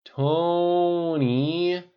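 An audiobook narrator voicing a forceful, tipsy, exasperated businesswoman with an emphatic, complaining manner. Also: medium pitch, very animated, normal speed, dull audio. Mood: tipsy pleading.

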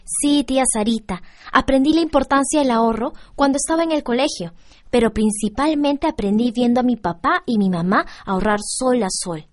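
Woman as high-voiced outspoken young Peruvian niece. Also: moderate pace, natural voice. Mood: earnest. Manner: blunt, questioning. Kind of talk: radio drama acting.

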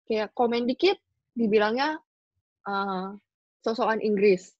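A young woman, a guest in a casual podcast chat, telling her stories in an animated, complaining way. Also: on the high side, unhurried, no room sound. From Indonesia. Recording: dull dry recording.

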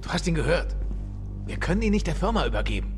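A man's voice: on the high side, big pitch swings, fast talker, faint music behind. An actor playing a brash, wisecracking male mercenary soldier in a German audio drama. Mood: tough, determined.

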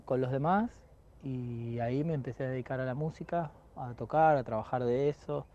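A reflective man in his thirties, talking calmly about his work as a music teacher. His voice is mellow and soft-edged, and he often repeats a word as he gathers his thoughts. The recording is dull and lo-fi, with a faint hiss behind him. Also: mid-range voice, moderate pace. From Argentina. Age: 30s. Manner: calm, reflective, repeats words as he thinks. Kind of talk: recorded interview answers.

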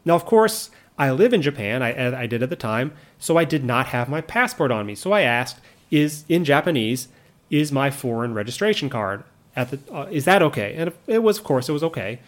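A man's voice: mid-range voice, sing-song. Earnest man, reflective monologue. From United States. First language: English. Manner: earnest, measured, with frequent restarts and asides. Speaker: vlogger living abroad.

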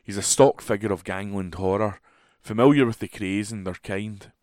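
A man's voice: on the low side, normal speed, a little mellow, dry recording, studio quality. A man reading a newspaper book review aloud as a volunteer, recorded at home.

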